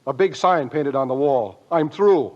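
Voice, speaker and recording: An actor playing an earnest, easily exasperated young husband on a farm in old film comedy style, stammering when emotional. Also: male voice, fairly high pitch, animated, a little slow, darkish tone. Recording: dull old film soundtrack.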